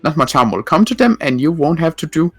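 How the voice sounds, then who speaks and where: A man voicing an experienced male water mage who speaks in a formal, measured way. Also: fairly high pitch, sing-song, fairly bright tone, husky, no echo, soft background music, heavily acted. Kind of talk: roleplay chat.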